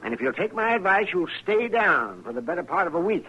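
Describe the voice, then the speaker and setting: A crusty older American doctor of the Old West, teasing and wry. His fairly high voice rises and falls a great deal. The old radio drama recording is muffled. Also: brisk, slightly rough, exaggerated voice. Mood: wry, grumbling. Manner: crotchety, wry, animated. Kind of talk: 1950s radio western acting. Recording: dull, faintly noisy vintage radio recording.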